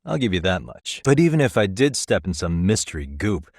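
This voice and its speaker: A man's voice: low-pitched, sing-song, fairly quick, no echo, heavily acted. A male actor with an American accent playing a wry, easygoing private detective in a video game, talking in a dry, casual, persuasive way. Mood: wry, defensive. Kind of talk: scripted game dialogue, conversational.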